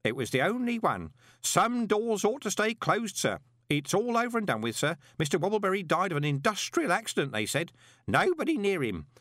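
A man's voice: high voice, wildly swooping pitch, normal speed, fairly bright tone, dry recording, studio quality, voice pushed up, full character voice. A man voicing a chatty, deferential elderly postal worker with a rambling, conspiratorial way of talking. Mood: wary. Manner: gossipy, rambling, ingratiating.

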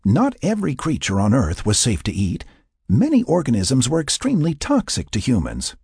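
A man's voice: mid-range voice, wildly swooping pitch, normal speed, a little mellow, slightly rough, no echo, clean studio sound, pitched above the performer's own voice. A man voicing a stubborn, defiant and scolding woman settler in an audiobook. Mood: incredulous, scolding. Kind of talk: audiobook character dialogue.

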